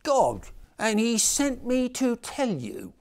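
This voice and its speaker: A self-conscious little boy in a school nativity play, reciting his angel lines in a flat, deadpan voice. A man acts him out, pitched high and slowed down. He plods through each line as if reading from memory. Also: full character voice. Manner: deadpan, flat, slow and halting.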